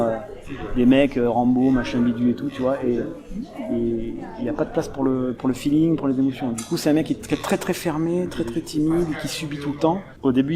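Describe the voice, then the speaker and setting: A young man, an actor, chatting casually in an interview, rambling with many restarts and laughs. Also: mid-range voice. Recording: crowd chatter behind.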